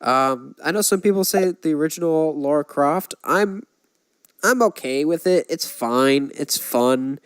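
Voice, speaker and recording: A man's voice: a little high, a little slow, clear, resonant tone, no room sound. A man co-hosting a podcast, talking casually about films in an opinionated, emphatic way. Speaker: podcast co-host. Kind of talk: casual film discussion.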